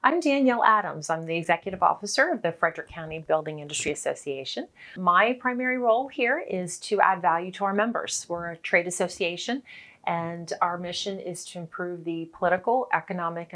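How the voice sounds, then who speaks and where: Measured woman association executive, lowish voice. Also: a bit bright. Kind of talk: interview to camera.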